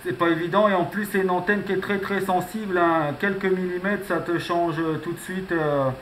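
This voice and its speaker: A man's voice: sits high, steady tone, moderate pace, fairly bright tone. A man chatting casually as an amateur radio operator, rambling and punctuating his phrases with little filler tags. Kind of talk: casual radio contact chat. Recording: clearly noisy radio contact recording.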